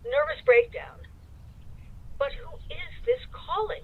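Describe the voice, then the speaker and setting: High-voiced, solemn lady on the phone. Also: sing-song, slow, a little hiss or hum, heavily acted. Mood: solemn, distressed. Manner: formal, careful, dramatic. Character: guarded, solemn woman answering household telephone. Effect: telephone filter. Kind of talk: radio play acting. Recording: muffled telephone line.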